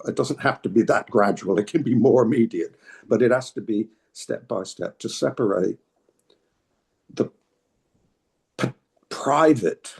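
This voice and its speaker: A man's voice: medium pitch, dark tone. An older man with a New England accent, answering interview questions in a hesitant, thoughtful way, with frequent restarts. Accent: New England American. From New England, United States. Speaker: interviewee, political activist. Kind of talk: reflective interview talk.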